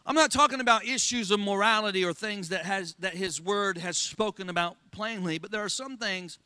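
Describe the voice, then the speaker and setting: A very high, piercing male voice with a Southern accent, preaching with fervor and constantly calling on the congregation to answer back. The sound is dry and close, with no room echo. He is a pastor from Florida in full sermon mode. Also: fairly quick, bright, forceful tone, clean tone. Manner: call-and-response preaching, asks the congregation for amens. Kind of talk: Pentecostal sermon.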